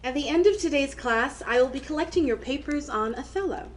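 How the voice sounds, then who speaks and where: A middle-aged woman professor giving an impassioned literature lecture in an audio drama. Her voice sits high, with determined, precise academic phrasing. Some noise sits behind her. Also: expressive. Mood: lecturing, impassioned. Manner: measured, emphatic academic diction.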